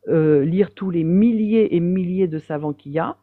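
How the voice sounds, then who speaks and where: A woman's voice: lowish voice, normal speed, dry recording. A woman calling into a live debate, defending her view in a hesitant way with many fillers. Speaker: debate caller. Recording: dry tinny phone line.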